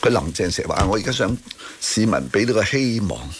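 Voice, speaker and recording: A man's voice: fairly low pitch, expressive, brisk, slight background noise. A man with a Hong Kong Cantonese accent hosting radio talk, arguing emphatically about current affairs with rhetorical tag questions and bits of English. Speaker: radio talk show host. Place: radio studio.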